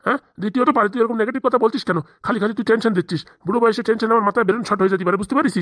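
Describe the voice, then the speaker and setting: Grumbling old cartoon moneylender played by a man, extremely quick talker, whining, defensive, dry sound. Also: high-pitched, fairly even intonation, a bit bright, dry recording. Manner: whining, defensive, blame-shifting. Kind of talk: comedy cartoon dialogue.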